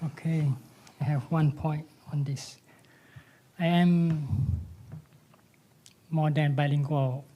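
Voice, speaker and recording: Woman, Malay speaker, low pitch, very slow halting pace, informal panel remarks. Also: fairly even intonation, warm tone. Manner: halting, searching for words. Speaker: panelist, writer. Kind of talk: informal panel remarks in non-native English.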